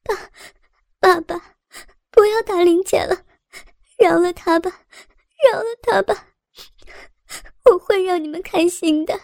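A woman's voice: extremely high pitch, measured pace, vocal fry, pauses often, darkish tone, no room sound, studio quality, voice pushed up, full character voice. A woman voicing a desperate young woman who cries and begs for mercy in a storybook reading. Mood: tearful pleading. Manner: crying, begging.

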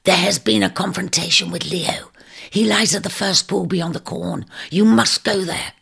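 A woman voicing an urgent, commanding female eagle in a rasping whisper, with terse storybook dialogue. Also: fairly low pitch, moderate pace, fairly bright tone, exaggerated voice. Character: grave, urgent female eagle, loyal companion. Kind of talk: audiobook storytelling character voice.

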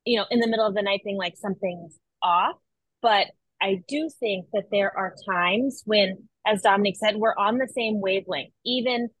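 A young woman talking as a podcast guest, thoughtful and measured in her reflections. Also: medium pitch, fairly bright tone, breathy edge, dry recording, rough recording. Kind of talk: casual chat.

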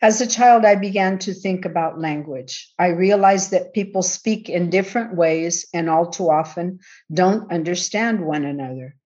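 A middle-aged woman from the Azores, raised in California, reading a prepared conference paper in a measured, reflective way. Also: lowish voice, darkish tone. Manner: measured, reflective, bilingual delivery. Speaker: conference panel speaker. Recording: dull lo-fi audio.

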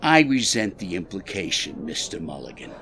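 A man acts out a proud Chicago athletic club boss in a period audio drama. His pitch swings widely as he moves between slippery politeness and combative bluster. He sounds streetwise and quick to take offense. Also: very animated, over a noisy crowd, very noisy. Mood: menacing, guarded.

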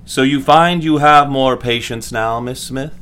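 A man's voice: medium pitch, unhurried, a bit bright, smooth, lightly acted. A man playing a pompous, patronizing doctor lecturing students formally in a 1940s-style audio drama. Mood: coaxing, patronizing. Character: pompous male doctor lecturing medical students, clinically smug.

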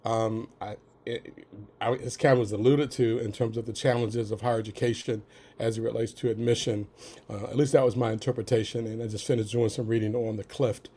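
A man who is under the weather, with a raspy voice, asking a careful, halting question in a webinar. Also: lowish voice, steady tone, mellow. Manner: halting, many ums, careful phrasing. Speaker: audience member asking a question.

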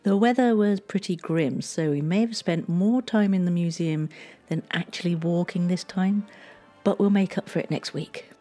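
A woman giving scripted history narration in a measured, gently storytelling way. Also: on the low side, soft background music.

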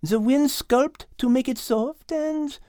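A woman's voice: high voice, slow pace, warm tone, no room sound, studio quality, voice pushed up. A woman voicing a clever, elegant female French cat who explains things precisely and eagerly in a children's audiobook, with a French accent. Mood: pensive.

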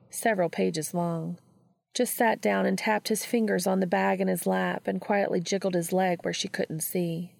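Female story reader: lowish voice, heavy vocal fry, scripted narration, very dry close sound. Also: darkish tone, dry recording. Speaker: audiobook reader.

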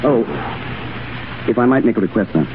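An actor playing a cool, courteous English gentleman corporal, polite and dry in 1930s radio style. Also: medium pitch, moderate pace, a little hiss or hum, natural voice. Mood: respectful, dry. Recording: tinny 1930s radio recording, music behind.

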